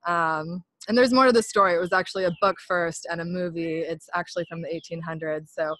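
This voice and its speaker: A woman's voice: on the low side, steady tone, fairly quick, fairly bright tone, no echo. A woman from California, a podcast guest, telling her story earnestly in a casual interview.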